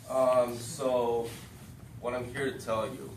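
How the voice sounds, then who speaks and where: A man's voice: medium pitch, little pitch movement, takes it slowly. A man in his thirties giving an informal talk to students, with lots of "um" and "okay?" check-ins. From born in the United States, raised in Japan. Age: 30s. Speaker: guest speaker to students. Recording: roomy, distant, noisy room recording.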